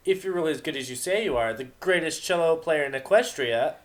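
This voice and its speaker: Casting: man plays cocky young unicorn mare DJ for storybook reading, raised pitch, brash and taunting. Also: expressive, a little fast, natural voice. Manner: brash, goading, indignant. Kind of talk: amateur dramatic fan fiction reading.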